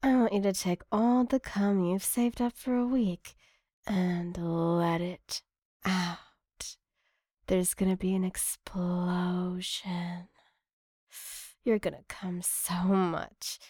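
A woman performing intimate adult ASMR straight to the listener, murmuring seductively and often slipping into a breathy whisper, close to the mic. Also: on the low side, flat delivery, slow, dark tone, dry recording. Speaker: erotic audio performer. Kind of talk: erotic ASMR audio addressed to the listener.

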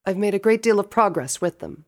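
A man doing a higher-pitched, regal pony princess. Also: lively intonation, a little fast. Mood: resolute. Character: regal, earnest pony princess, anxious and indignant.

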